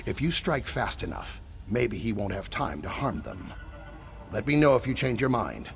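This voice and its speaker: Sneaky reptilian treasure hunter for a fantasy game: nervous, wheedling, creaky low voice, animated pitch. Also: male voice, moderate pace. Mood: furtive, wary. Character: shifty, self-preserving male lizard-folk relic hunter with a tail. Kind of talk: fantasy video game voice acting. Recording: music behind the voice. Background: game music.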